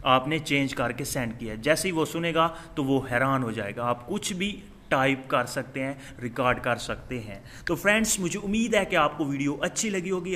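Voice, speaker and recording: A man with a very bright, forceful voice, pitched a little high, narrates a phone app tutorial. He speaks straight to his viewers as host and walks them through it step by step. The home-made recording has clear background noise. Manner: addresses viewers directly, instructional, step by step.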